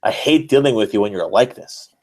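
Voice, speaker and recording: A man's voice: lowish voice, animated, fairly quick, a little mellow. A man voicing an exasperated, stern and reluctant male wrestler, curt and weary, in a dramatic reading of fan fiction.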